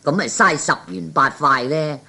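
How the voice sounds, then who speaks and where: A man's voice: on the high side, normal speed, bright, forceful tone. A man voicing a sly, proud but broke gambler with a Cantonese accent in a 1960s comic radio play, drawling and dismissive. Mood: scheming, smug. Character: sly, proud, broke male gambler playing big shot.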